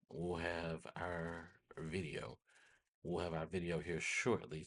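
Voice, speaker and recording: A man hosting a casual software tutorial, thinking aloud informally and often repeating his phrases. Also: very deep voice. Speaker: tutorial host.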